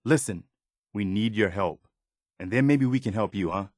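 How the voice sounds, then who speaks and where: A male actor as a loyal militia officer from a wasteland video game. His voice sits on the low side and is recorded dry and close. He speaks with measured, conscientious earnestness and a touch of weariness. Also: no echo, lightly acted. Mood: guarded, pleading. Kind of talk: video game character dialogue.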